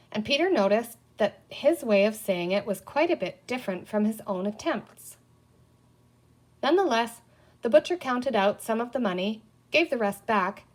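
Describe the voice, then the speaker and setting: A woman narrating a children's story as an audiobook reader. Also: fairly high pitch.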